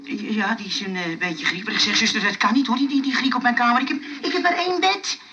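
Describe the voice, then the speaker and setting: A woman's voice: on the high side, quick pace, pushed and bright. A woman playing a naive, evasive boy in a Dutch radio comedy play, hesitant and stammering over words. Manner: hesitant, protesting, stammering repeats. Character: naive, evasive boy reluctant to share his room. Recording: noisy, muffled old radio-play recording.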